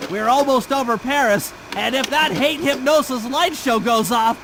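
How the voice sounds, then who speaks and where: A man's voice: very high voice, moderate pace, background noise. A man voicing a nerdy, earnest young turtle strategist in a cartoon game, with precise, wordy delivery. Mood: earnest briefing. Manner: precise, wordy technical briefing. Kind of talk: video game cartoon voice acting. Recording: loud game music behind.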